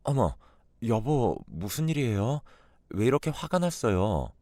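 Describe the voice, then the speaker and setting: A man's voice: lowish voice, big pitch swings, unhurried, no room sound. A man voicing a young wife who reacts with shock and anger in a read-aloud story. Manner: shocked, then shouting. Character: young wife, hurt and furious.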